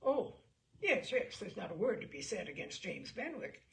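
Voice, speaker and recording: Woman putting on a bluff, lively old navy admiral. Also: medium pitch, moderate pace, creaky. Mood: hearty, assured. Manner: blustery, rambling, emphatic. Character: bluff, hearty, chatty older male navy admiral. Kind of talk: audiobook character dialogue.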